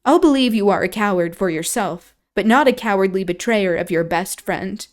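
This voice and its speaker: A woman's voice: medium pitch, expressive, moderate pace, close to an everyday voice. A woman reading aloud, voicing a passionate, scornful young woman who scolds. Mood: urgent. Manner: scolding, impassioned.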